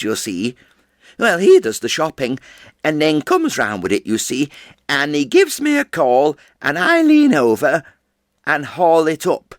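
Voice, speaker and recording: An actor playing a chatty, fretful elderly widow who rambles and interrupts herself. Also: male voice, fairly high pitch, fairly bright tone, dry recording, clean studio sound. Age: older. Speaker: actor performing a character monologue. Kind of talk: stage character monologue.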